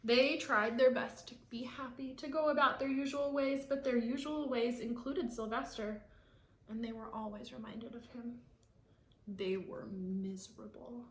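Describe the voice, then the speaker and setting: A woman reading a picture book aloud to children, expressive, with explanatory asides for young listeners. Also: a little high, a little slow, reverberant. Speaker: read-aloud storyteller.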